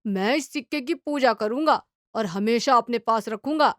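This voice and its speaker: A woman's voice: on the high side, moderate pace, no room sound, close to an everyday voice. Woman voicing earnest young villager, dry and close. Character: two young village men, friends, talking earnestly. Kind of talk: storytelling character dialogue.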